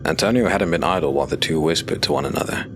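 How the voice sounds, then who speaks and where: A man narrating a dark fantasy audio drama in a measured, steady storytelling voice. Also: extremely low pitch. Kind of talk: scripted narration. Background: music.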